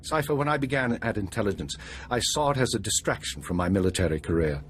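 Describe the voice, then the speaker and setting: An actor playing a weary, authoritative older spymaster in a video game, with measured, formal delivery. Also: male voice, lowish voice, expressive, slight background noise, natural voice. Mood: weary, reflective. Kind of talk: cinematic video game acting.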